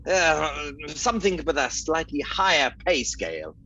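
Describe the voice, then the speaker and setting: A man's voice: a little high, very animated, measured pace, sharp tone, a little hiss or hum, exaggerated voice, poor audio. A man playing a breezy, self-satisfied captain in a comic radio drama, theatrical and jaunty. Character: breezy, pleased-with-himself male captain, royal cousin.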